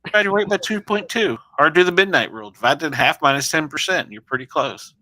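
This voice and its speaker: A man's voice: on the high side, lively intonation, fast talker, sharp tone. A man co-hosting a casual talk show, chatting in an easygoing, jokey way and laughing often. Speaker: co-host; photographer. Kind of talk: casual chat.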